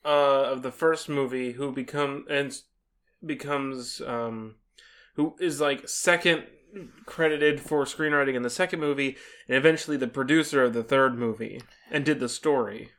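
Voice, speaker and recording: A man's voice: medium pitch, moderate pace, a bit bright. A man co-hosting a casual podcast, making dry, wisecracking remarks. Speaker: podcast co-host. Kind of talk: casual chat.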